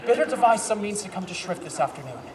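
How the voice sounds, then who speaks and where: A man playing an earnest, urgent young gentleman lover in a Shakespearean stage play. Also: high-pitched, sing-song, fast. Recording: distant echoey hall, background noise.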